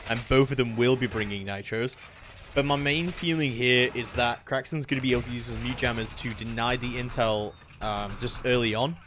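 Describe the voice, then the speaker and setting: A man's voice: medium pitch, normal speed, noisy background. A man giving live play-by-play commentary on a video game as an esports caster, talking analytically. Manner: analytical, hedging, swells into excited calls.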